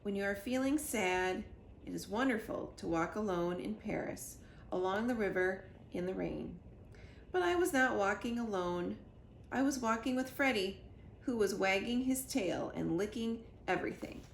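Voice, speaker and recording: A woman narrating a children's storybook in an expressive read-aloud style. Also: medium pitch, normal speed, gravelly. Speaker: storybook reader. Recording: echoey room with faint noise.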